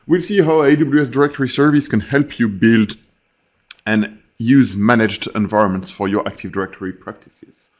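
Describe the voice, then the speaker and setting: A man's voice: mid-range voice, normal speed, lo-fi sound. French-accented man presenting a tech webinar. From France.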